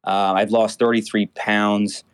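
A man in his late thirties calling in to a talk show. He speaks haltingly, with many fillers and restarts. His voice sits somewhat low. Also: flat delivery, smooth. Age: 30s. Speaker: caller. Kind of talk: phone-in call.

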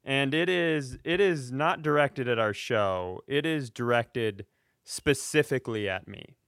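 A man's voice: medium pitch, a little slow, fairly bright tone, clear, resonant tone, no room sound, studio quality. An American man hosting a comedy podcast, ranting casually in an indignant, emphatic and profane way. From rural Upper Midwest United States.

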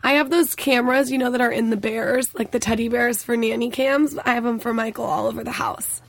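A woman co-hosting a podcast, hoarse with her voice nearly gone, chatting playfully with her husband. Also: a little high, steady tone, fairly quick, no room sound. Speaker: podcast co-host. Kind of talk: casual banter with her husband.

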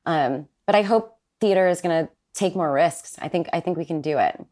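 A woman's voice: fairly low pitch, normal speed, mellow. A woman guest chatting casually, bubbly and laughing often. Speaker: guest, Broadway actress. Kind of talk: casual chat.

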